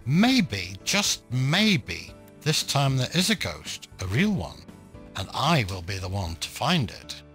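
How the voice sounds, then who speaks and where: A man playing a sceptical, self-assured ghost hunter in an audio play, speaking in a musing, deliberate way. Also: mid-range voice, very animated, a bit bright, soft background music, voice pushed up.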